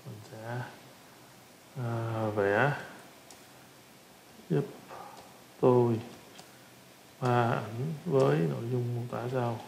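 Southern Vietnamese man, gravelly, in echoey room. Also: medium pitch, fairly even intonation, very slow, pauses often, darkish tone. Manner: repeats short phrases while demonstrating. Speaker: tutorial instructor. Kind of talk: casual screen-recorded software tutorial. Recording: roomy echo with background noise.

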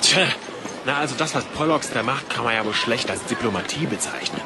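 A man's voice: medium pitch, expressive, a little fast, natural voice. A man playing a hot-headed, indignant adventurer with a sword in a fantasy audio drama. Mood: grumbling. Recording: music and crowd bustle behind.

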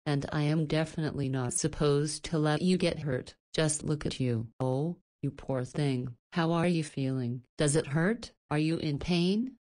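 A woman's voice: sits low, measured pace, hardly any pauses, darkish tone. A woman playing a caring, anxious middle-aged guardian in a visual novel, emotional and apologetic. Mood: distraught, tender.

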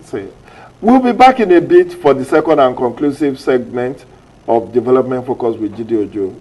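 Nigerian man, middle-aged host, medium pitch, rambling rephrased questions, tv studio. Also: animated, moderate pace, a little mellow, poor audio. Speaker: TV show host. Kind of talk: morning TV interview.

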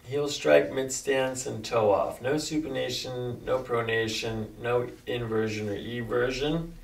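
A man's voice: medium pitch, fairly even intonation, a little slow, creaky, poor audio. A middle-aged man working as a chiropractor, giving short, reassuring instructions to a patient during an exam. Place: small carpeted chiropractic office.

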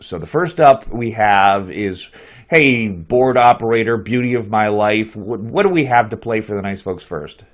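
Guy in his forties with a cold hosting his own little show, lowish voice, desk mic in an office, loose and chatty. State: has a cold. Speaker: host. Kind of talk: casual live-stream hosting. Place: small office with a map on the wall. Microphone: headphones with a desk mic.

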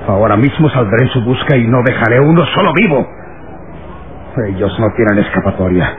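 An actor playing a cruel, furious, commanding camp boss in an old radio drama. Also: male voice, mid-range voice, echoey room, close to an everyday voice. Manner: menacing, vengeful, commanding. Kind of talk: vintage radio drama acting. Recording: tinny, noisy old radio recording.

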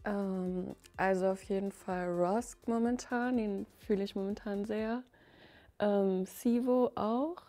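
A woman's voice: medium pitch, steady tone, takes it slowly, mellow, soft background music. A young woman of nineteen answering hesitantly, with many fillers. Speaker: contestant. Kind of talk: casual chat.

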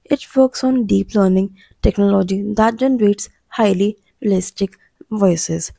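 Mellow woman narrating a scripted explainer, slight creak. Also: mid-range voice, a little slow, dry recording. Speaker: channel narrator.

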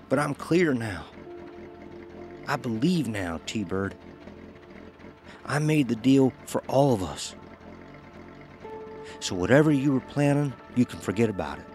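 A man's voice: fairly low pitch, a little mellow. An actor playing a haunted, resolute working man in a horror audio drama, terse and folksy in short clipped phrases. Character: Elmer Gage, haunted, resolute working man on a grim errand.

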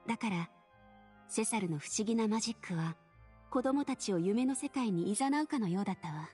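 A woman playing a gentle, wistful middle-aged lady in an anime game, speaking softly and tenderly. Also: mid-range voice, expressive, moderate pace, husky. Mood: wistful, fond. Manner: soft, tender, reminiscing. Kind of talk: Japanese anime game voice acting. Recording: faint music behind the voice.

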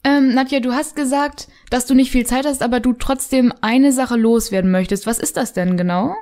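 A woman's voice: high voice, moderate pace, a little mellow. A woman presenting a podcast as co-host in a scripted style.

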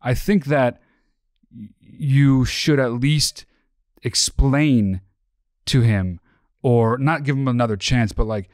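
A man around forty with an American accent talking casually as a podcast co-host, explaining his advice in measured, informal words. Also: medium pitch, darkish tone, dry recording, clean studio sound. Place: small podcast studio. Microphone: close boom-arm podcast mic.

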